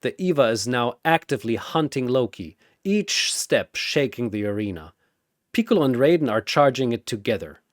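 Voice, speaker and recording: A man's voice: medium pitch, sing-song, normal speed, dry recording, clean studio sound. A man delivering dramatic scripted battle narration in a theatrical, punchy way.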